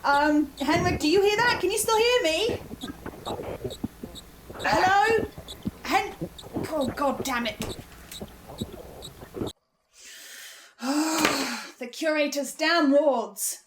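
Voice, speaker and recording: A woman playing an anxious, wry researcher of the supernatural in a horror drama, speaking in a halting, teasing way. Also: high voice, very noisy. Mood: panicked, frustrated.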